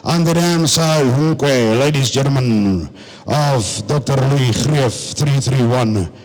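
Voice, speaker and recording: A South African man working as a livestock auctioneer, describing cattle lots and then rattling off bids in a rapid chant. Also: medium pitch, darkish tone, big-room sound, low-quality recording. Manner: rattles off bid numbers in a fast chant, switching between English and Afrikaans.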